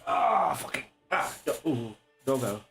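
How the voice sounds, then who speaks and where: A man's voice: mid-range voice, big pitch swings, unhurried, music in the background. A man doing casual live gameplay commentary as a streamer, in an offhand, sweary way. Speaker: game streamer.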